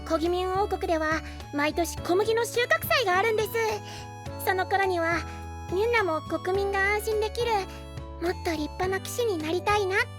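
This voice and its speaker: A man's voice: very high voice, fairly quick, music in the background. A man voicing a small, cute boy apprentice knight in an anime-style drama, polite, eager and cheerful. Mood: earnest. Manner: polite, eager, childlike, refers to self by name.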